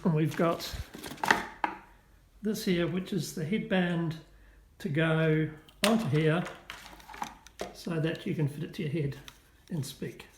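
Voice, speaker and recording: A man's voice: on the high side, slow pace, long pauses. A man giving a casual, methodical product demonstration in plain words. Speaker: product reviewer. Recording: echoey with clear background noise.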